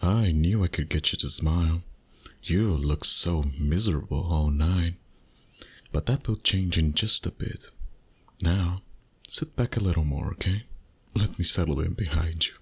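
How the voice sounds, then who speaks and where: A man voicing a flirty, dominant vampire boss in an intimate, teasing ASMR roleplay, soft and breathy. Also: deep voice, full character voice. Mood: playful teasing, then soothing, seductive. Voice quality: breathy, husky, often near a whisper. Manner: slow, soft, teasing seduction.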